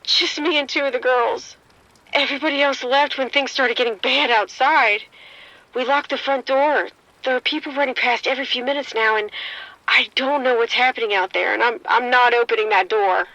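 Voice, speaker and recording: A woman playing a bright-voiced, frightened, bitten woman. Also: high-pitched, fairly even intonation, no echo, dull audio. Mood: scared. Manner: shaken, halting, breathy fear. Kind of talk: audio drama acting.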